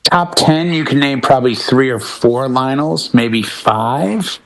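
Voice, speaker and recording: A man's voice: mid-range voice, lively intonation, slow pace, a bit bright, no echo, rough recording. A man chatting casually as a panelist on a music talk show. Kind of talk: casual chat.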